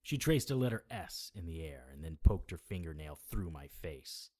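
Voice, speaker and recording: An actor playing a gritty, wounded supernatural investigator in an audio drama, narrating in a wry, hard-boiled, dramatic way. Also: male voice, deep voice, very animated, moderate pace, heavy background noise. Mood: tense, weary. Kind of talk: first-person noir audio drama narration.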